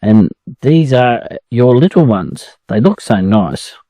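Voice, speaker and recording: A man's voice: medium pitch, very animated, takes it slowly, dark tone, dry recording, clean studio sound, exaggerated voice. An Australian man voicing a sociable, mildly strict mother duck in a storybook reading. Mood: excited, gossipy. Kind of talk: storybook character voice.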